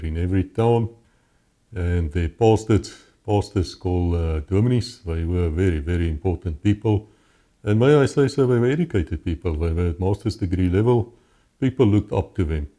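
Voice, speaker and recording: South African man, very low voice, dark tone, earnest storyteller. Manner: earnest, storytelling, repeats words when restarting. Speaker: interview guest. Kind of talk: personal testimony in conversation.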